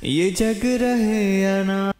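Woman singing slowly in long reverb. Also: a little mellow. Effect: pitch correction. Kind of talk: slow devotional-style melodic singing. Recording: reverberant hall sound, faint music.

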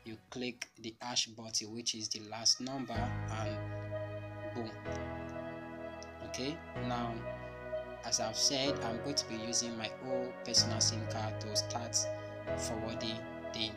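Male tutorial host, even unvaried delivery, step-by-step instructions over loud music. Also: mid-range voice, a little slow. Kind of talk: instructional screen-recorded tutorial narration. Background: loud background music.

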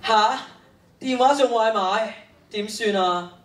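A man's voice: high voice, slow pace, soft background music. A teenage boy with a Hong Kong Cantonese accent playing a troubled schoolboy hiker in a radio drama. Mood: distressed. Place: stage of a large hall. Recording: echoey stage, distant mic, faint noise.

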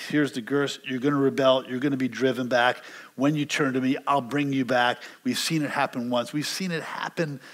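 A middle-aged American man, a pastor teaching verse by verse in a conversational, emphatic way, repeating words for emphasis. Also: mid-range voice. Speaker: pastor teaching a Bible study. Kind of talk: expository sermon, verse-by-verse Bible teaching. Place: indoors, church stage with stone wall.

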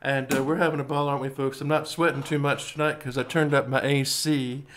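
A man's voice: medium pitch, little pitch movement, brisk, background noise. A man in his thirties playing piano on a live stream, chatting to viewers in a rambling way and laughing easily. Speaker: singer and pianist streaming live. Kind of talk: singing with piano, then casual chat to viewers. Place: indoors before a green-screen backdrop. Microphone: studio mic with pop filter. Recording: piano music under voice.

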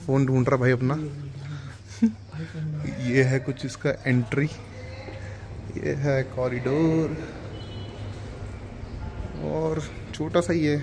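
A man giving a casual, relaxed walkthrough for a vlog while showing around a hostel indoors. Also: medium pitch, noisy background. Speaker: travel vlogger.

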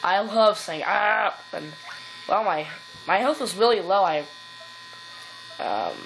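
A woman's voice: medium pitch, slow pace, fairly bright tone, low-quality recording. Chatty woman for let's play commentary, rambly and giggly, phone-recorded, light background hiss. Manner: rambling, giggly, lots of fillers and silly rhymes. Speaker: gameplay commentator. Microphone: phone filming the TV.

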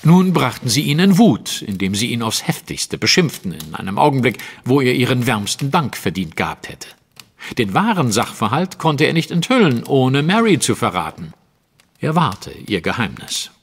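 An actor playing a confident, analytical detective in a German audio drama, setting out a case in articulate, precise, dramatic storytelling. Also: male voice, medium pitch, sing-song, moderate pace, husky. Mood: emphatic. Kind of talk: German audio drama acting, narrative monologue.

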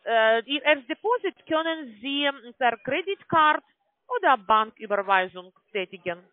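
A woman's voice: sits high, animated, a little slow, no echo. Woman on a tinny phone line, non-native German. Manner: explanatory, frequent tag questions seeking confirmation. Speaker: call-centre agent selling online trading. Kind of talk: phone sales pitch. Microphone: telephone call.

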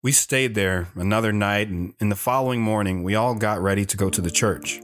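Brooding soldier and young father acted by a man, low understated voice, audio drama, light guitar underneath. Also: fairly quick, close to an everyday voice. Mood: reflective. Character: reflective, stoic young soldier, husband and father. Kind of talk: audio drama first-person narration and dialogue. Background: guitar music.

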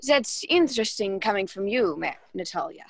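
A woman's voice: mid-range voice, animated, moderate pace, gravelly, no echo. A young woman acting in a radio play as a scheming, haughty society hostess, condescending and taunting. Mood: defiant, taunting. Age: young adult.

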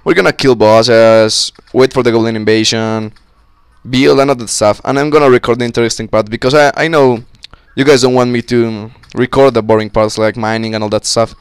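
A young man with a slightly sick voice, giving casual, excitable commentary on a co-op game with friends on a close mic. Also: mid-range voice, a little fast, smooth, no room sound. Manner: excitable, swearing, joking with friends. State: sick, voice a little off. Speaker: gameplay commentator. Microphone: close-up desk mic.

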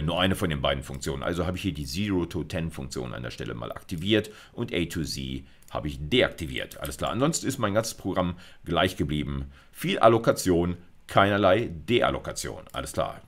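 Low-voiced man lecturing, lively intonation. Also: a little fast, hardly any pauses. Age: middle-aged. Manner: conversational, explanatory, peppered with colloquial asides. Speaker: university lecturer. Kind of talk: informal video lecture with live demonstration. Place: indoors at a computer, recorded lecture.

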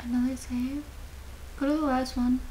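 A woman's voice: sits high, level tone, normal speed, very noisy. A young adult woman chatting casually as a gaming video host, reacting to the game as it plays. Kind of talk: casual gameplay chat.

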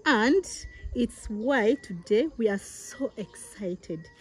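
Giggly excited young woman from Uganda. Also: a little high, slow, soft background music. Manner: giggly, breathless with excitement, frequent laughs and exclamations. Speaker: vlogger. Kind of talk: excited unboxing vlog. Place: outdoors in a rural village yard, seated on a mat.

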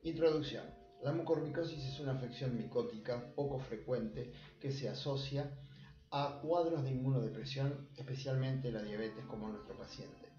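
An Argentine man, a surgeon, reads a medical case presentation in a measured, formal tone. His voice is mellow and relaxed, and it sounds dull and a little roomy, recorded at a desk webcam in a small office. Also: medium pitch, faint music behind. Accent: Argentine Spanish. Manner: measured, formal clinical reading.

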